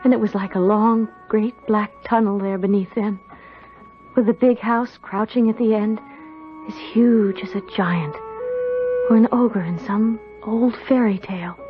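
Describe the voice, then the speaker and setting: A woman's voice: medium pitch, lightly acted. An actress playing a nervous but plucky young country servant girl, plain-spoken and hesitant, in a 1970s radio drama. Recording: narrow-band old radio broadcast.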